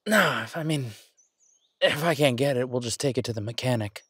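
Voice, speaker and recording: A man's voice: mid-range voice, brisk, a bit bright, slight background noise, natural voice. A man playing a caring, easygoing boyfriend in an intimate audio roleplay, talking in a hesitant, reassuring, conversational way. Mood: casual, easygoing. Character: caring, easygoing human boyfriend of a werewolf woman.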